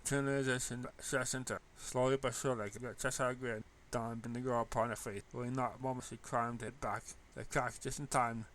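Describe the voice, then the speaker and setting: Bright-voiced man narrating a story. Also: mid-range voice, little pitch movement, a little fast, few pauses, a little hiss or hum. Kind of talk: amateur story reading.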